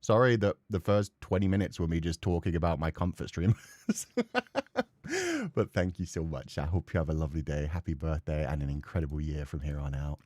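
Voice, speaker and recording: A man livestreaming a horror game, chatting casually and excitably and swearing freely. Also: very deep voice, fairly quick, no room sound, studio quality. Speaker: game streamer.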